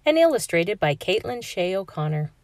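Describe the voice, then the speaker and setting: A woman reading a children's picture book aloud in a clear, steady storytelling voice for a classroom. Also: mid-range voice, normal speed, no echo. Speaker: read-aloud narrator.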